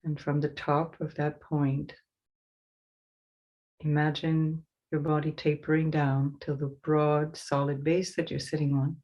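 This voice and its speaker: Woman guiding meditation in an even tone. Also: deep voice, muffled recording. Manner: slow, soothing instruction with pauses. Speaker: meditation teacher. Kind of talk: guided meditation.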